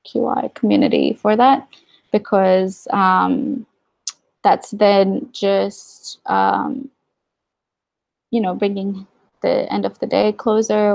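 A woman's voice: mid-range voice, gravelly, a little mellow, dry recording, rough recording. A young woman speaking as a guest in a casual video-call interview from her living room, candid and wry. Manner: candid, wry, many fillers and asides. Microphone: video call webcam.

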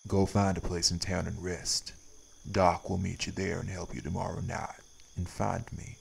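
A man's voice: low voice, flat delivery, normal speed, creaky, a little hiss or hum. A man voicing a stern, weary wraith priest in an audio roleplay, with a laconic, folksy way of talking. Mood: firm, weary. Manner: laconic, folksy, drawled nonstandard grammar. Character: stern, weary male wraith priest guarding his town. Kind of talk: scripted audio roleplay acting.

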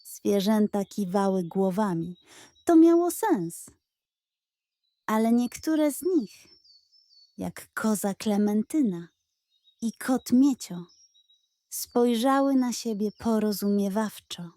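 Slow, soft-toned woman storyteller. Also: mid-range voice, expressive, no echo. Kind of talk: scripted children's bedtime narration.

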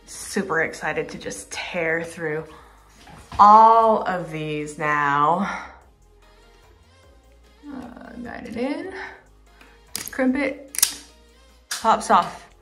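A woman's voice: on the low side, soft background music. A woman casually showing how to use a tool indoors while filming with one hand on a handheld camera. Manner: relaxed, unhurried, instructive. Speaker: vlogger demonstrating a tool. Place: indoors in a room under renovation.

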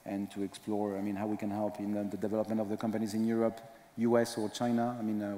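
A man in his thirties speaks English with a French accent, pausing often on fillers as a panelist. His voice sits fairly low. He wears a headset mic on a conference stage, and a large hall echoes with long reverb. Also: dark tone, a little hiss or hum. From Spain. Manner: halting, many fillers. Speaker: panelist, investor. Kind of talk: panel discussion.

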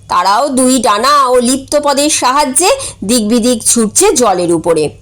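A woman reading a humorous short story aloud as a scripted narration. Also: high-pitched.

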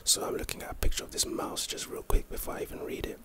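A man's voice: quick pace, sharp tone. A man with a London accent doing casual ASMR commentary, reading and reacting to trivia in a rambling, playful, slangy way. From London, England.

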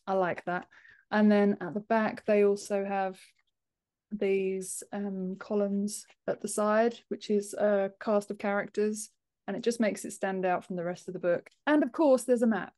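A woman's voice: mid-range voice, steady tone, unhurried, darkish tone. Woman chatting as a podcast guest. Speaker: podcast guest, author and book formatter. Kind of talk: casual interview chat. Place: home office room, indoors, on a video call.

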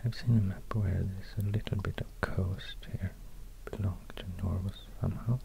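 A man with a Swedish accent, a native Swedish speaker, speaking soft ASMR narration in a halting, musing, breathy near-whisper. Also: low-pitched, steady tone. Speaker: ASMR video creator. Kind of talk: soft-spoken ASMR narration while drawing. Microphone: close binaural microphone. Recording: audible noise, soft clicks.

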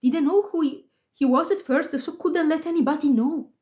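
A woman voicing a flustered male theatre doorkeeper in a storybook, speaking in halting, colloquial phrases. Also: high-pitched, a little mellow, pitched above the performer's own voice, close to an everyday voice. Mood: apologetic. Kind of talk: storybook character voice.

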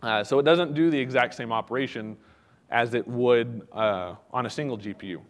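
A man's voice: medium pitch, moderate pace. Man lecturing in a reverberant hall. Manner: conversational, many "uh" fillers and restarts. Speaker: conference speaker. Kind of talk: technical conference talk. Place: conference hall.

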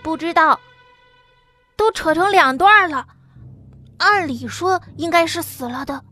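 Tense young man in an audiobook, high, bright and slow, a woman voicing him, faint music. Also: expressive, exaggerated voice. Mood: urgent.

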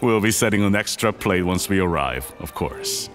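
A man's voice: sits low, expressive, normal speed, fairly bright tone, soft background music. An actor playing a dignified, wealthy older Korean businessman and father, speaking formally and graciously in a podcast romcom drama.

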